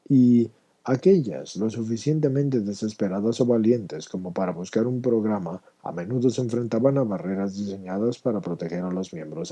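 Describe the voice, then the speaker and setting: A man's voice: lowish voice, dark tone, breathy edge. A man reading a personal testimony aloud as scripted narration.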